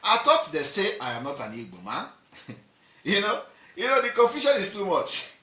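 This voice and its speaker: A man's voice: high-pitched, huge pitch swings, moderate pace, sharp tone. A man hosting a political livestream, defiant and piling up repeated rhetorical lists. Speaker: livestream host. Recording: roomy, distant from the mic.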